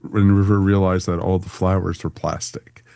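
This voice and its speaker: A man's voice: low-pitched, little pitch movement, moderate pace, vocal fry, warm tone, clean tone, dry recording, clean studio sound. A man hosting a casual panel review chat, talking conversationally. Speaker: podcast host.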